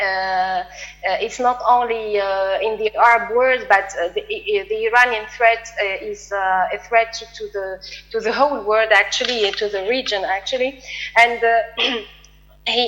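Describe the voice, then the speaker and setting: Female panel moderator, Arabic accent, bright tone, hesitant delivery full of pauses and restarts. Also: mid-range voice, rough recording. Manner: halting, many fillers and restarts. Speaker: conference moderator. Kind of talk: hesitant conference chairing.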